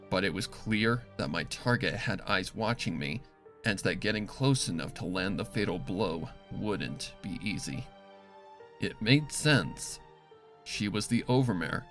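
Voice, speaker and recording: A man reading a dark first-person story as scripted dramatic narration, serious and deliberate. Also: on the low side. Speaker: fan fiction reader.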